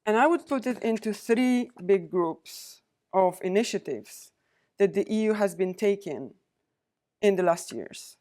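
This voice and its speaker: A woman delivers a prepared lecture to a university audience. She speaks at a middling pitch, in a measured, deliberate way, and pauses between the items she lists. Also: expressive, warm tone. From Poland. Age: 40s. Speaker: guest lecturer, diplomat.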